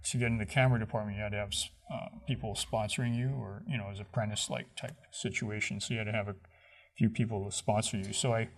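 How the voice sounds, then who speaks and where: A man being interviewed as a stunt coordinator, answering in a relaxed, rambling way with many fillers. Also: fairly low pitch, fast talker.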